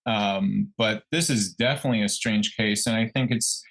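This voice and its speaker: A man co-hosting a true crime podcast, talking casually in a halting, musing way as he thinks out loud. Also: lowish voice, creaky. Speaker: podcast co-host.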